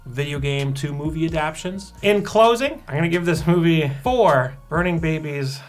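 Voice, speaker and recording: A man on a review panel, chatting casually about a film in a measured, slightly hesitant way. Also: fairly high pitch. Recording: music under the voice. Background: background music.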